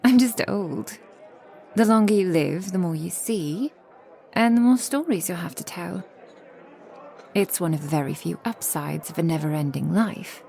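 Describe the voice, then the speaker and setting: A woman voicing an affectionate, protective ancient vampire woman in a soft, intimate ASMR roleplay, with genteel old-fashioned phrasing. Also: on the low side, gravelly. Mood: wry, reminiscing.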